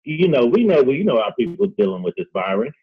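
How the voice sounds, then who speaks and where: A man speaking earnestly as a guest on a discussion show, halting and often repeating words. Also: medium pitch, big pitch swings, slightly rough. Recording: dull lo-fi call audio.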